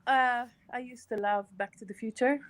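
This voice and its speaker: A woman in her thirties from Germany, a guest in a casual comedy chat, speaking softly and hesitantly with giggles. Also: on the high side, steady tone, normal speed, a crowd in the background. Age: 30s. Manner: soft-spoken, giggly, hesitant. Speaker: guest viewer. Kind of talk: casual video-call chat.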